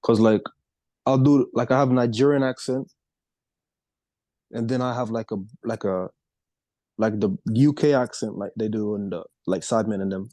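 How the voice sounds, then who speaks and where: A man's voice: lowish voice, moderate pace, pauses often, mellow, no echo. A young American man chatting casually as a podcast co-host, rambling with lots of fillers. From United States. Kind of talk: casual chat.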